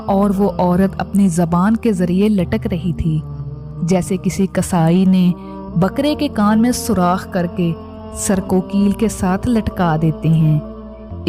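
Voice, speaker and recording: Female narrator for scripted religious storytelling: mid-pitched, measured and solemn, set over clearly audible music.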